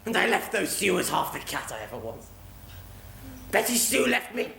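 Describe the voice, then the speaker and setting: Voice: mid-range voice, very animated, normal speed, vocal fry, fairly bright tone, exaggerated voice. An actor playing a washed-up, drunken old cat in a stage pantomime, rambling and melodramatic. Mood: maudlin. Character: washed-up, drunken, self-pitying old alley cat, former rat catcher. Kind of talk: stage pantomime comedy acting. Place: theatre stage before a live audience. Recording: echoey theatre stage recording with audience.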